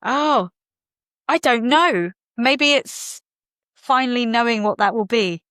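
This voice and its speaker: Woman in her thirties, lively podcast guest, dry close mic. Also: on the high side, no echo. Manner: animated, jokey, quick asides. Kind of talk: casual chat.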